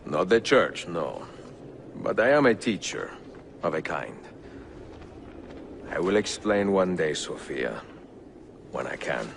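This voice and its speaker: An actor playing a mature Italian assassin in a video game, gravelly and measured, with an Italian accent and a calm, gallant manner that can turn menacing. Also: male voice, sits low, a little hiss or hum, full character voice. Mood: warm, guarded. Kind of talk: video game cutscene acting. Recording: orchestral game music behind.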